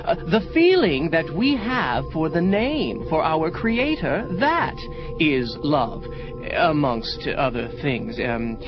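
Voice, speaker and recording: An actor playing a nervous, evasive angel official in an audio drama, stammering and halting as he speaks. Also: a little high, sing-song. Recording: muffled lo-fi audio drama with music. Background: prominent music.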